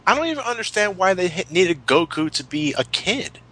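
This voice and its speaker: A man's voice: high-pitched, big pitch swings, fairly quick, bright, forceful tone. A man chatting casually as a podcast co-host, opinionated and emphatic, with plenty of swearing. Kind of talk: casual chat, retrospective commentary.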